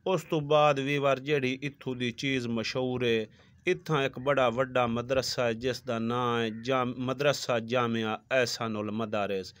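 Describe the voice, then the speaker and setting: A man's voice: mid-range voice, fairly even intonation, normal speed, a bit bright, smooth, no echo. A man in his thirties from Punjab, Pakistan, narrating a village vlog to his viewers on a phone held at arm's length. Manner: talks to viewers, repeats "viewers" often. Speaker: village vlogger. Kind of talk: casual travel vlog narration. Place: outdoors on village roads, then a shoe shop.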